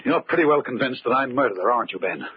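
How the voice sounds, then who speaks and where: An actor playing an accused amateur magician, theatrical, sardonic and urgent, in a 1940s radio mystery. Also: male voice, medium pitch, expressive, fast talker, natural voice. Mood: defensive, urgent. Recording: tinny, noisy old radio recording.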